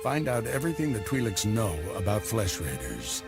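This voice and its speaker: A man voicing a calm, wry Jedi master who gives guidance and orders to his apprentice, in a studio video game cutscene. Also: fairly low pitch, normal speed. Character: wry, patient older Jedi master and mentor. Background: orchestral game music.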